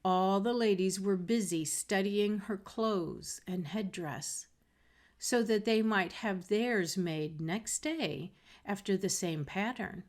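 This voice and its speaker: A woman reading a fairy tale aloud as a storyteller, in a measured, expressive way. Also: mid-range voice. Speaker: storybook reader. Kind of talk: scripted read-aloud narration of a fairy tale.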